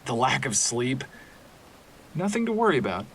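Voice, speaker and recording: A man's voice: medium pitch, very animated, moderate pace, heavy background noise. A man playing an anxious, deferential assistant to a professor in an audio horror drama, hesitant and earnest. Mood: sheepish, concerned. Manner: hesitant, earnest, repeats himself.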